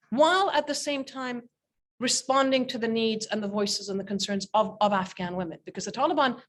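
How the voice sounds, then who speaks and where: A woman speaking emphatically and indignantly on a panel over a video call, repeating words for force. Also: fairly high pitch. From Britain. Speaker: panel speaker, head of a peace-building organization. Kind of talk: impassioned panel talk. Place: indoor room with framed pictures, on a video call.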